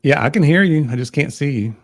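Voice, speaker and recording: A man with a Southern American accent, chatting casually on a livestream in a calm, soothing way. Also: a little high, fairly quick. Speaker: guest on a livestream. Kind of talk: casual chat.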